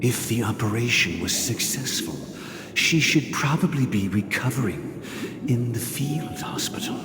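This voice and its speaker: Casting: male actor as solemn game dignitary, mid-pitched, formal and deliberate, in an echoing hall. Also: expressive, close to an everyday voice. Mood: grave, consoling. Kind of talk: scripted video game dialogue. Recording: echoey hall reverb, music behind.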